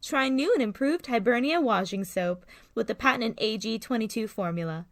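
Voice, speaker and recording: A woman's voice: fairly high pitch, lively intonation, moderate pace, no echo. A woman playing a polished, cheerfully blunt saleswoman reading an old-time radio commercial in a presentational, salesy way. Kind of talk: parody 1940s radio commercial.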